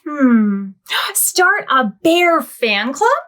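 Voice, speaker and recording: A cheerful, imaginative little girl voiced by a woman reading a storybook aloud chirps and exclaims in a high voice that leaps up and down. Her delivery is slow and deliberate, as in a children's picture book. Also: big pitch swings, full character voice. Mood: eager. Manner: bright, eager, sing-song exclamations.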